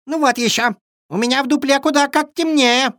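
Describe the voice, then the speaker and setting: A feisty female storybook squirrel, voiced by a man, complains in a squeak. The pitch is pushed very high and indignant. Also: a little fast, fairly bright tone.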